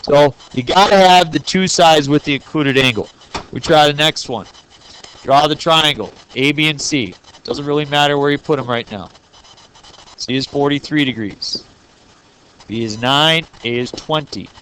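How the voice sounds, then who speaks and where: Goofy math teacher guy, kinda high voice, cracks jokes while walking thru problems. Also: normal speed, fairly bright tone, slight background noise, rough recording. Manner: jokey, playful, talks straight to students. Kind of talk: classroom lesson recorded on video.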